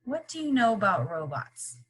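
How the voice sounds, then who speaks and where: Woman, primary teacher, read-aloud lesson style, very animated intonation, husky edge, simple encouraging questions. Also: mid-range voice, moderate pace. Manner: instructive, question-asking, simple encouraging phrasing for children. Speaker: teacher reading aloud to young children.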